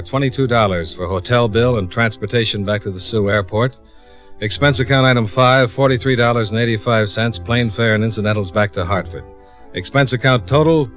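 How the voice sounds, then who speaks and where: An actor playing a cool, shrewd American insurance investigator in a vintage radio drama, with dry, clipped, probing delivery. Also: male voice, mid-range voice, normal speed, a bit bright, faint music behind, close to an everyday voice. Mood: wry, somber. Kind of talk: 1950s radio detective acting and first-person narration.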